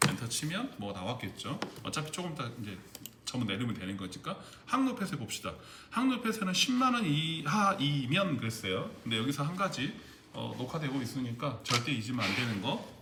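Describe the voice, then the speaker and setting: A man teaches an exam-prep class in a lively, up-and-down voice, walking through each step and checking in with listeners again and again. The room is echoey, and steady background noise sits under his voice. His pitch sits in a middle range. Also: a little fast. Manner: explains step by step, keeps checking understanding with questions. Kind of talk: recorded lecture on spreadsheet formulas.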